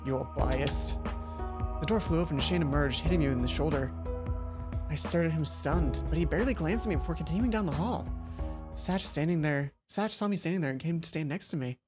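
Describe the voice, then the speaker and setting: A woman reading a visual novel aloud as a let's play host, expressive and playful, acting out the dialogue. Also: low-pitched, fast. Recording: music behind the voice. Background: game music.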